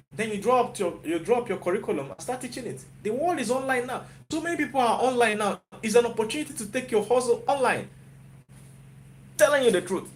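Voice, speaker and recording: Nigerian guy on a livestream, highish voice, hypes folks up and keeps asking "you get?". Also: slight background noise. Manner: motivational, repetitive, checks in with "you get?". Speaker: YouTuber and software developer. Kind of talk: live-stream pep talk.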